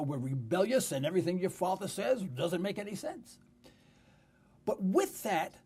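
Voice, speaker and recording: An older man in his sixties with a New York accent speaks as a talk radio host. His voice is rough and husky, swinging widely in pitch as he tells personal stories. He phrases things emphatically and repeats words for weight. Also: a little mellow. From New York City. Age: 60s. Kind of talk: conversational radio monologue with personal storytelling.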